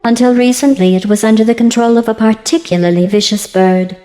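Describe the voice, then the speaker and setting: A woman voicing a passive-aggressive female artificial intelligence in a video game, deadpan and mock-sweet, with synthetic robotic processing. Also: fairly high pitch, fairly quick, in a large hall. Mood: condescending. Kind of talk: scripted video game dialogue.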